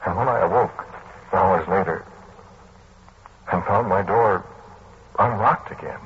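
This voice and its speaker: An actor playing a haunted, earnest man who recounts a dark tale in old-time radio style, with dramatic, broken phrasing. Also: sits low, slow, husky, full character voice. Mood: haunted, brooding. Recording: muffled, hissy old radio recording.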